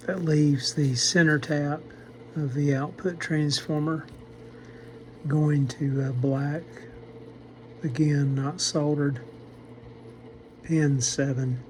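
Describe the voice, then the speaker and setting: A man narrating a vintage radio repair as a relaxed how-to voice-over, matter-of-fact and unhurried, with frequent "uh" pauses. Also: on the high side, slight background noise. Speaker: radio restoration hobbyist.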